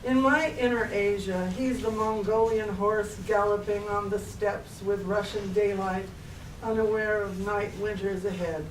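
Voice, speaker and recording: Slow-reading woman poet in an echoey room. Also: mid-range voice, steady tone. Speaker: poet reading own work. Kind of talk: live poetry reading. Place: public library reading room. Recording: echoey room, distant mic, faint noise.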